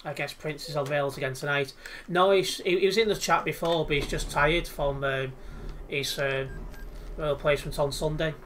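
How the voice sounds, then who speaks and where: A man's voice: a little high, steady tone, normal speed, a bit bright, a little hiss or hum. A young man with an English accent, chatting casually and easily while he livestreams a game from home on a headset. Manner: chatty, easygoing, many restarts. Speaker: livestreaming gamer. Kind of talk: casual chat while playing. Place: indoors at home, in front of a computer.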